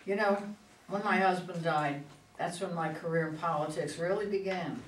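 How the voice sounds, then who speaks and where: A woman's voice: lowish voice, moderate pace, close to an everyday voice. A woman in a staged play reading, playing a guarded but tender widowed politician. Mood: resolute, reflective. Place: echoey room at a reader's theater. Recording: roomy, distant mic, faint noise.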